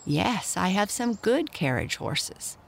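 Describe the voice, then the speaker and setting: A woman's voice: mid-range voice, big pitch swings, takes it slowly, gravelly, slight background noise, voice pushed up, exaggerated voice. A woman voicing a friendly farm stockman who reassures his buyers. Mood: reassuring.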